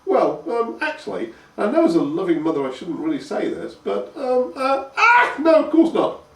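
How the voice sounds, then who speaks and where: Male reader playing a high-voiced, flustered peasant mum, stammering, echoey and far off. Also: wildly swooping pitch, moderate pace, fairly bright tone, exaggerated voice. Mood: flustered fond. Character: stout peasant mother, flustered and fond. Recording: echoey room, voice from afar.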